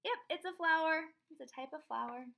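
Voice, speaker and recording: A woman in her twenties reads a children's storybook aloud expressively, pausing for emphasis and chatting with young listeners. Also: sits high, gravelly. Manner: animated, expressive, emphatic pauses on key words. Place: small room at home.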